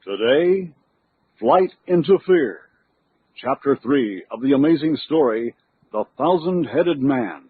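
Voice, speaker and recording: A man's voice: mid-range voice, expressive, slow, breathy edge, dry recording. A man narrating a scripted adventure serial as a dramatic old-time radio announcer. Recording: tinny radio sound over music.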